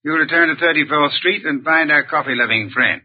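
An actor playing a pompous, deliberate, eccentric genius detective in an old radio drama, with formal, precise diction. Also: male voice, fairly high pitch, breathy edge. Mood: confident, commanding. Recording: muffled vintage radio recording.

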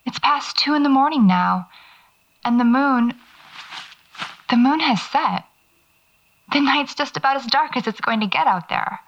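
Dull lo-fi tape recording, a wry female horror writer, highish creaky voice, understated audio-drama monologue, musing field notes. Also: animated, unhurried, pauses often, fairly bright tone, exaggerated voice. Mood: restless, weary. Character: wry horror novelist exploring alone at night, recording herself. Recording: dull lo-fi cassette-style tape.

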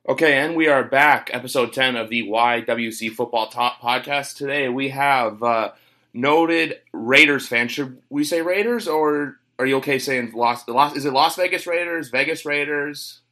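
A man's voice: medium pitch, moderate pace, bright, forceful tone. A man hosting a casual sports podcast, talking with a cold and rambling through lots of fillers. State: has a cold. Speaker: podcast host. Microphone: home recording, guest on internet call.

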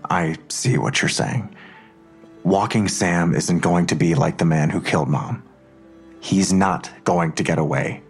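An actor playing a worried, protective father in an audio drama, husky and earnest, reassuring but insistent. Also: low voice, a little slow, faint music behind, exaggerated voice. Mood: firm, reassuring.

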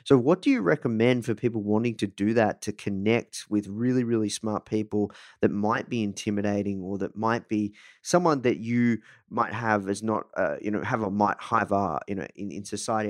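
Male podcast host, slightly low voice, casual and halting, circles around his questions. Very dry close-mic sound. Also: no echo. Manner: halting, repeats phrases while forming long questions.

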